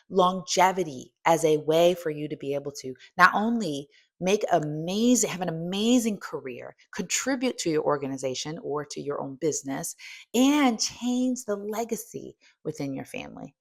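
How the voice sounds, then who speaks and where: A woman's voice: lowish voice, animated, normal speed. Emphatic woman in her fifties, coaching. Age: 50s. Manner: emphatic, asks the listener direct questions. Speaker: strength coach and host. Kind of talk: motivational coaching talk.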